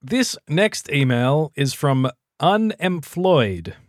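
A man's voice: fairly high pitch, very animated, slow pace, no room sound. An Australian man co-hosting a podcast, reading listener emails with dry, offhand joking. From New South Wales, Australia. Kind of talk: casual podcast banter.

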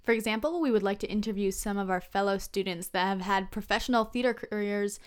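A woman's voice: medium pitch, fast talker, clear, resonant tone. A woman in her early twenties, a student co-host giving a scripted podcast introduction. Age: early 20s.

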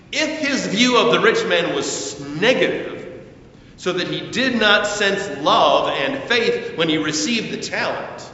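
An older man delivers a sermon in a slow, emphatic way, his voice fairly high and swinging widely in pitch. He sounds distant from the microphone, with heavy echo around his words. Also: bright, forceful tone. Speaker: pastor. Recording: echoey hall, distant mic, muffled, faint rumble.

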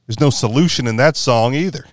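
A man's voice: mid-range voice, brisk, no room sound, clean studio sound. A man hosting a podcast in casual political chat, rambling and correcting himself with a wry tone. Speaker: podcast host.

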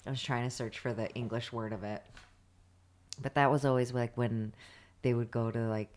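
A woman's voice: a bass voice, steady tone, normal speed, darkish tone. A young woman co-hosting a casual chat, reading out listener questions and teasing her partner.

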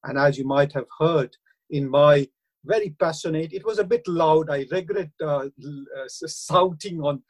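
A middle-aged man with an Indian accent, speaking earnestly and haltingly in a radio interview, with frequent hesitations. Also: a little high, husky. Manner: earnest, hesitant, many uh fillers. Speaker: councillor and university lecturer.

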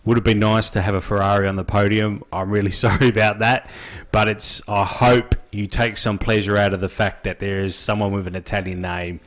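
Man, podcast host, lowish pitch, casual wry chat. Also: little pitch movement, fairly bright tone. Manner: chatty, wry, quick to agree. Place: small podcast studio.

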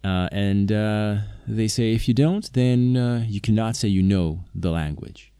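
A man's voice: lowish voice, a little slow, warm tone, clear, resonant tone, no room sound, studio quality. A man whose first language is Slovak, talking to viewers about knowing languages, hesitant, with many fillers and restarts. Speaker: polyglot video maker, interpreter. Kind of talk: casual talk to camera.

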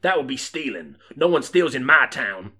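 A man's voice: mid-range voice, sing-song, normal speed, pushed and bright, husky, voice pushed up, full character voice. A man voicing a defiant, heroic Wild West sheriff in a bold, folksy, declamatory way. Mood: righteous.

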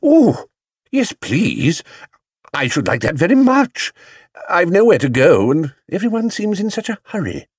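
A man voicing a small, young male bear who speaks clearly, politely and with proud earnestness, as in a children's storybook. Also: on the low side, big pitch swings, a little slow, a little mellow, dry recording, clean studio sound. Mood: eager, hopeful.

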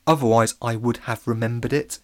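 A man's voice: medium pitch, steady tone, a little fast, a little mellow, lightly acted. A man voicing a cheeky, defensive schoolboy in a children's story, emphatic and protesting. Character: cheeky schoolboy, defensive, boastful, easily embarrassed.

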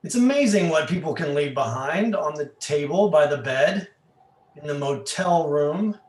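A man reads the part of a nagging husband in a stage play, his voice on the high side. He chides and questions pointedly, with quiet menace under the words. The room rings noticeably around him. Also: animated, normal speed, natural voice. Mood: veiled menace. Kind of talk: play reading. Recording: echoey room.

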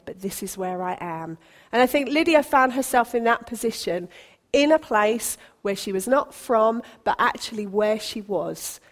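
A woman pastor from Britain, preaching a sermon in a chatty, encouraging way with light humour. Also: on the high side. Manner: conversational, encouraging, self-deprecating humour.

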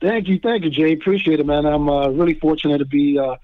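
A man's voice: a little high, steady tone, fast talker, no room sound. Man on a tinny phone line. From New York, Dominican family. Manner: friendly, many fillers and restarts. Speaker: guest. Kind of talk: casual interview chat.

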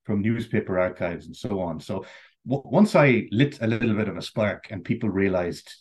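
Irish male guest, deep voice, slightly husky, loose chatty storyteller. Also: lively intonation, normal speed, darkish tone. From Ireland. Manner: rambling, full of "you know" and "like". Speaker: interview guest. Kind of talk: casual conversational storytelling.

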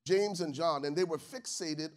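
A man from Liberia preaching a sermon to his church congregation, calling for responses and swinging from soft, low asides to shouted peaks. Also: normal speed. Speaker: pastor. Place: church stage with live congregation.

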